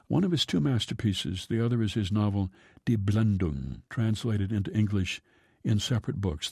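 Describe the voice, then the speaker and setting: A man hosting a radio segment, reading an almanac and a poem in a calm, measured way with slow drawn-out line endings. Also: on the low side, gravelly, slightly rough, no room sound, studio quality. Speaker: radio host.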